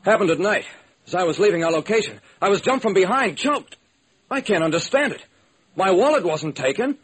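A man's voice: high-pitched, expressive, brisk, a bit bright, husky, pitched above the performer's own voice, exaggerated voice, rough recording. A man playing a good-natured bit-part actor in his twenties in a radio drama, earnest and sheepish. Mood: puzzled. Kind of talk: classic radio drama acting.

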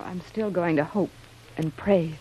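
Wistful young castaway widow. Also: female voice, on the low side, measured pace, warm tone, husky, rough recording. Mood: anxious, hopeful. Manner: ladylike, wistful, dramatic. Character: sweet, youthful female castaway playing grieving widow. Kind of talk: classic radio drama acting.

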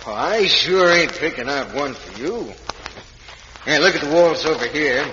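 Crooked folksy western villain, muffled old radio. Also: male voice, a little high, expressive, measured pace, pushed and bright. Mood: falsely friendly. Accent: American. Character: scheming crooked western mine owner, feigning folksy generosity. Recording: muffled, noisy vintage radio recording.

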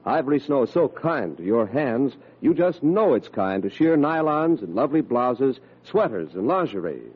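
Husky, animated male radio pitchman. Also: moderate pace. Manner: emphatic sales pitch. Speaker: commercial announcer. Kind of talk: 1940s scripted radio advertisement. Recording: muffled old radio recording.

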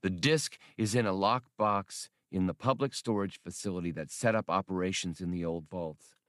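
A man's voice: low voice, normal speed, fairly bright tone, no room sound, studio quality. A man voicing a curt, businesslike woman adventurer in an audiobook, with a no-nonsense manner. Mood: matter-of-fact.

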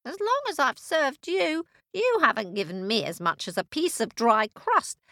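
A catty, meowing talking cat from a storybook, acted out by a woman. The voice sits high and leaps about in pitch, with a pert temper. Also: sing-song, bright, forceful tone, no room sound, clean studio sound, exaggerated voice. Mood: indignant. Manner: drawn-out meows, catty. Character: talking cat, helpful, meowing, indignant at its mistress.

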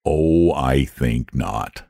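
A man voicing a lazy, gentle and cowardly male dragon who speaks in a languid, solemn drawl in a storybook. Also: rumbling low, very slow, gravelly, dry recording, studio quality, voice pushed down, full character voice.

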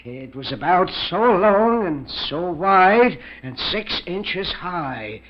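High-voiced, folksy old prospector. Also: male voice, very animated, takes it slowly, heavily acted. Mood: haunted, recounting. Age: older. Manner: rustic, folksy, ungrammatical speech. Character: weird old male prospector, superstitious and haunted. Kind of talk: 1940s radio mystery acting. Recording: dull 1940s radio recording.

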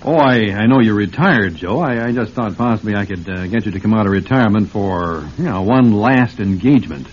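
A man's voice: on the low side, a little fast, few pauses, a little hiss or hum, natural voice. An actor playing a polite, persuasive businessman with a shady offer, courteous and a little hesitant, in an old-time radio drama. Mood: coaxing. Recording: muffled old radio recording.

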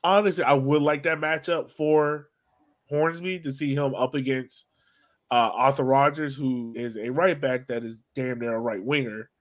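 A man's voice: mid-range voice, steady tone, normal speed. A man hosting a sports podcast, chatting casually and putting questions to his co-hosts in a conversational way. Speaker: podcast host. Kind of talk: casual soccer podcast chat.

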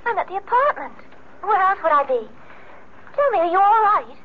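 A high-pitched, earnest radio wife. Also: female voice, big pitch swings, moderate pace, a little hiss or hum. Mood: tender, concerned. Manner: earnest, questioning, formal. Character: concerned, exacting wife or sweetheart of a businessman. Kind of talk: 1970s radio drama acting. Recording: dull old radio recording.

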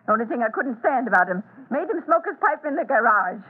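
An eccentric old widow from a spooky mansion, in a classic radio drama. Her voice is husky and leaps around in pitch as she speaks in abrupt, blunt mutters. The recording is thin and tinny, like an old wireless. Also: expressive. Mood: blunt, reminiscing. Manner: abrupt, halting, muttering asides.

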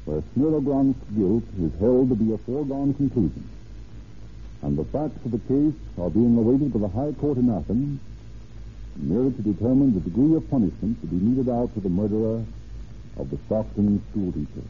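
A man's voice: medium pitch, expressive, fairly quick. A man narrating a 1930s radio crime show in a formal, declamatory style. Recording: tinny, hissy old radio recording.

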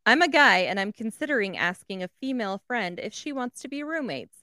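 A woman on a podcast reading a listener's question aloud in a plain, even voice. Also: on the high side, fairly quick, dry recording, studio quality.

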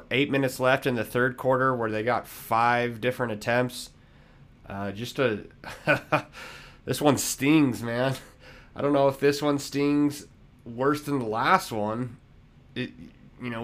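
A man hosting a live postgame fan talk show, talking in a slow, easygoing way with many fillers. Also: medium pitch, fairly bright tone. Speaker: podcast host.

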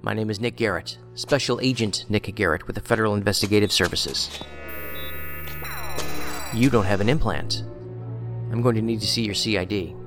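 A man playing a dry, deliberate federal agent. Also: lowish voice, lightly acted. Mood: firm, official. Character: measured, inquisitive male federal special agent. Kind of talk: audio drama acting. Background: music.

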